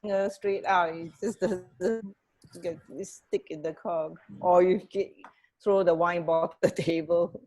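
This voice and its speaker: A middle-aged woman chats casually as a radio co-host. Her voice is slightly on the low side, and she is speaking over a video call on an earphone headset. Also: steady tone, measured pace, warm tone. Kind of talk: casual chat. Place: indoor room, video call.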